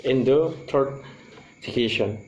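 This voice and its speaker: A young Indonesian man with a clear Indonesian accent, speaking English as a second language. He talks slowly and haltingly, pausing often to find the next word. His voice sits at an average pitch for a man. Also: a little mellow, lo-fi sound, a little hiss or hum. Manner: halting, searching for English words. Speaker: student presenter. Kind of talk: class presentation.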